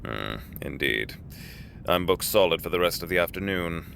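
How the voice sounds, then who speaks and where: Deep, gravelly, courteous executive. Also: male voice, expressive, fairly bright tone, a little hiss or hum, exaggerated voice. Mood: weary, curious. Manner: formal, genteel, deliberate phrasing. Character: courteous older male executive, avuncular, formal, measured.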